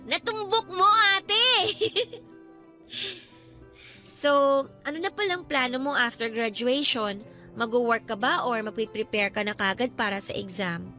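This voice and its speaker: An affectionate younger sister in a Filipino radio drama. Her young Filipino voice is very high and bright. She speaks sweetly and encouragingly over soft background music. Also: expressive, measured pace. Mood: cheery, teasing.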